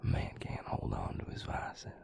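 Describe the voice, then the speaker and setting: A man playing an affectionate, teasing male vampire lover in an intimate romantic audio roleplay, with a husky, breathy, flirtatious drawl. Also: moderate pace, darkish tone, lightly acted. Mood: flirtatious, tender. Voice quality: gravelly, husky, breathy near-whisper.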